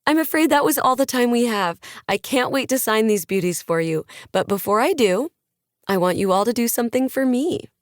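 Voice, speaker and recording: A bright, preachy influencer woman works a crowd with lifted, eager delivery, pitched well above the narrator's own voice, as a woman narrator puts her on. The sound is close and dry, like an audiobook booth. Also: lively intonation, fairly quick, no echo, close to an everyday voice. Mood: peppy. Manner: projecting, preachy and peppy. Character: upbeat woman influencer giving a motivational talk.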